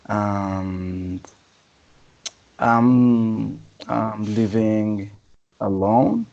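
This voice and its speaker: A hesitant, gravelly man on dull, lo-fi audio. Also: on the low side, a little mellow. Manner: hesitant, with many "um" fillers. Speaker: student. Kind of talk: introducing himself in class.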